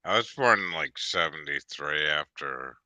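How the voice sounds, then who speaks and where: A man in his fifties chatting casually, rambling with many restarts and fillers, sometimes bursting out excitedly. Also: very deep voice, a little slow, no echo. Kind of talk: casual chat.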